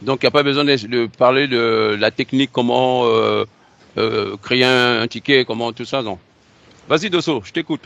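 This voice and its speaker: A man with a mid-pitched voice coaches a learner through an oral drill. He prompts and repeats himself, and the recording is dull and lo-fi. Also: normal speed, vocal fry, a bit bright. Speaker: trainer. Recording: dull lo-fi sound.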